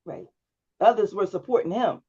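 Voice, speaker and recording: A woman's voice: medium pitch, moderate pace, mellow, husky, highs cut off. Woman coaching a student over video call. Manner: coaching, checks understanding with frequent "right?". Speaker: public speaking instructor. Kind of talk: one-on-one feedback on a video call.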